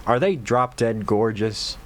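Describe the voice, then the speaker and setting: A man hosting a reaction commentary, talking in a mocking, ironic, deadpan way. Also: on the low side, a little slow. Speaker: commentary host.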